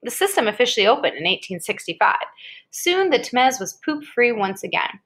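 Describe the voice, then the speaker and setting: Woman, American, slightly high voice, reading nonfiction aloud conversationally with brief informal asides. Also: big pitch swings, fairly quick, fairly bright tone. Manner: conversational, interjects short reactions. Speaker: reader of an article aloud to a child. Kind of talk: read-aloud of an educational article with casual asides.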